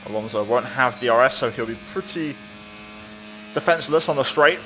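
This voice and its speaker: A man's voice: medium pitch, brisk, bright, forceful tone, a little hiss or hum, low-quality recording. Man commentating casually over his video game. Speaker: gaming video creator.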